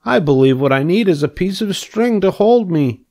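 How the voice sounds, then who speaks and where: Man voicing an excitable, high-pitched young storybook pig. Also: moderate pace. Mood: eager, excited. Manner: excitable, wide-eyed, earnest. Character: eager, boastful, naive young male pig. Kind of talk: storybook character reading.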